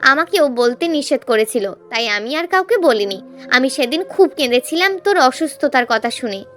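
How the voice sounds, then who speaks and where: A woman's voice: high-pitched, lively intonation, moderate pace, a bit bright, soft background music. A woman voicing a loyal, concerned young man in emotional story dialogue, earnest and familiar.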